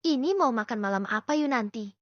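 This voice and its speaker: Woman reading as a helpful, high-voiced aunt. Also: animated, brisk, dry recording. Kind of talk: acted audiobook dialogue. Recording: dull lo-fi dry recording.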